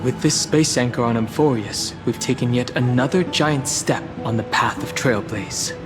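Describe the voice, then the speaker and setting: Man playing a mid-pitched earnest young explorer, reverberant. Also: normal speed, close to an everyday voice. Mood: earnest, polite. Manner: courteous, matter-of-fact. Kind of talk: scripted game character dialogue. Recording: long hall reverb, music behind. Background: game music.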